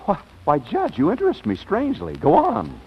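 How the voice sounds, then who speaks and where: A man's voice: high-pitched, huge pitch swings, normal speed, big-room sound, a little hiss or hum. An actor playing a suave, dryly sarcastic businessman husband in an old radio comedy, with wry, polished phrasing. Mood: intrigued. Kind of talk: 1940s radio comedy acting. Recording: dull, lo-fi old radio recording.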